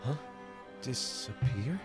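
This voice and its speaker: A man's voice: medium pitch, expressive, slow pace. An actor playing a serious, thorough young male apprentice in a game cutscene. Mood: earnest. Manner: earnest, serious, questioning. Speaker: game character. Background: game music.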